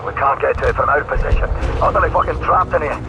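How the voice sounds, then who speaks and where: A man's voice: mid-range voice, fast, natural voice. An actor playing a sweary, harried airman with a Scottish accent in a video game, wry and blunt. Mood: urgent, coaxing. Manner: colloquial, blunt. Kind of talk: video game cutscene acting. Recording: loud aircraft and battle noise.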